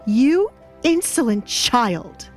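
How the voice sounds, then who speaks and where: A woman's voice: on the high side, big pitch swings, drawn-out words, soft background music, voice pushed up, heavily acted. Woman acting out imperious, cold baroness stepmother. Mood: scornful, angry. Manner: cold, commanding. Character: harsh, imperious middle-aged baroness stepmother. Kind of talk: audio drama character acting.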